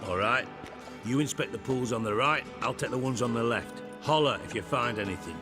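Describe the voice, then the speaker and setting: A man's voice: medium pitch, a little fast, big-room sound, over music, natural voice. An actor voicing a sardonic, bossy, middle-aged male spymaster in a video game, sarcastic, imperious and crude.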